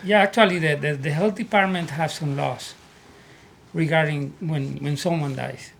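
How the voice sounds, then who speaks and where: A man from Puerto Rico with a Puerto Rican Spanish accent, telling his life story as an interview guest in earnest, halting English. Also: fairly high pitch, creaky, a little hiss or hum. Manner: earnest, repeats words as he searches for English. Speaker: guest, army veteran.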